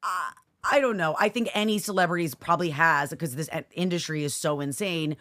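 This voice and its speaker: A woman's voice: fairly low pitch, fairly quick, fairly bright tone. An American woman co-hosting a podcast in casual, chatty banter, slangy and reactive, often echoing what she hears. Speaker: podcast co-host.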